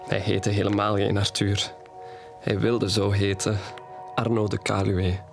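An actor playing a tender, grieving, wounded young man in an audio drama, speaking in a halting, confessional way. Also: lowish voice, monotone, creaky. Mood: pained, reminiscing. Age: young adult. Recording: music behind the voice.

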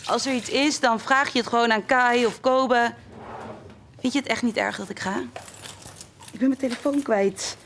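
An actress playing a brisk, hurried young student sister in an audio drama, with a casual manner. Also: female voice, a little high, fairly bright tone, a little hiss or hum.

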